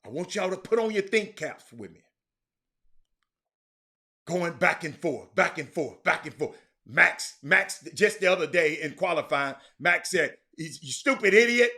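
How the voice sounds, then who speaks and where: A man's voice: on the high side, animated, unhurried, bright, forceful tone. An American man hosting a casual sports livestream, chatting to viewers in a folksy way and repeating phrases for emphasis. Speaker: sports talk show host.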